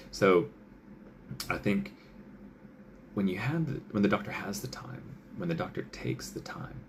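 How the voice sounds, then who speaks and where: A man's voice: low voice, lively intonation, unhurried, noisy background. A male doctor giving casual advice, chatty, with many fillers, who often acts out what patients and doctors might say.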